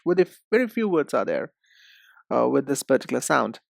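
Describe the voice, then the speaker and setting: A man teaching English pronunciation in a deliberate, instructive way, speaking directly to the learner. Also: mid-range voice, very animated, creaky, no room sound, studio quality. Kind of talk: instructional lesson.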